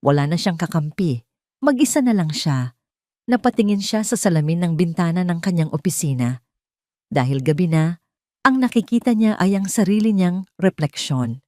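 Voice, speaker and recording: A woman with a Filipino accent narrating a scripted drama in an expressive, suspenseful storytelling way. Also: sits low, dry recording, studio quality.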